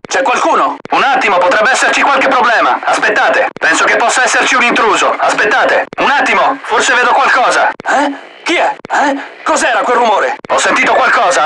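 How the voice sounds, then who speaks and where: A man's voice: high-pitched, heavy background noise. A man voicing a tough mercenary soldier in a video game dub, giving clipped, barked callouts. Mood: wary. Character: tough male mercenary soldier, terse and alert. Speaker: soldier voicelines.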